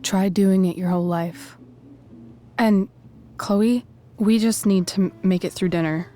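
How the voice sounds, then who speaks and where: A woman's voice: mid-range voice, steady tone, a little slow, dark tone, close to an everyday voice. A woman playing a confident, scheming teenage girl in a video game, poised and conspiratorial. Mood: hushed, wry. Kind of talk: video game cutscene acting.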